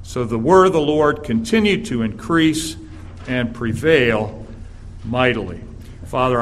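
A man with a raspy voice, a guest preacher delivering an earnest sermon in a church and reading scripture aloud. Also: mid-range voice, fairly bright tone, slight background noise. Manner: earnest, measured preaching with filler "uh"s.

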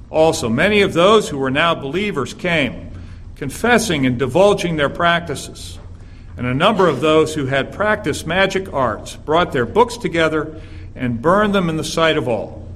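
A man with a raspy, slightly higher voice preaches as a visiting pastor in a church, reading scripture and then telling stories in an earnest, measured way. A faint hiss of room ambience sits behind him. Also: fairly bright tone. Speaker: guest preacher.

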